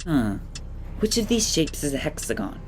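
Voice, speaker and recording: A woman playing a bright, modest young boy in an audio drama, polite and questioning, thinking aloud. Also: sits low, very animated, moderate pace, over music. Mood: puzzled, pondering. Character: bright, modest, earnest orphan boy taking a test.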